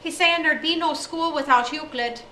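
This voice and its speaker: A woman's voice: high-pitched, normal speed, sharp tone, a little hiss or hum, voice pushed up. A woman voicing a nervous, earnest young schoolboy answering a teacher. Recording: echoey room from far off.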